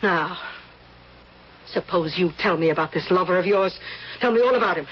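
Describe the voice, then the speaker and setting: A woman's voice: fairly low pitch, normal speed, slightly rough. An actress playing a domineering, prying, spiteful older rich woman in a radio drama, with an imperious, needling manner. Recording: muffled, hissy old radio drama recording.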